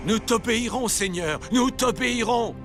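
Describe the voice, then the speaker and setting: A man's voice: extremely high pitch, moderate pace, music in the background. An actor playing a pious old patriarch in a children's Bible cartoon, solemn, fatherly and reverent. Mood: fervent.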